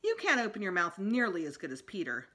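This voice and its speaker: A woman's voice: medium pitch, huge pitch swings, a little fast, pushed and bright. A woman voicing a playful, teasing male children's dentist from a storybook, with exaggerated coaxing praise. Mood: jovial, coaxing.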